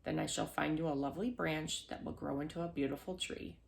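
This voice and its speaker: A woman's voice: sits low, steady tone, brisk, creaky. A woman voicing a kindly, puzzled father in a fairy tale, with a questioning manner.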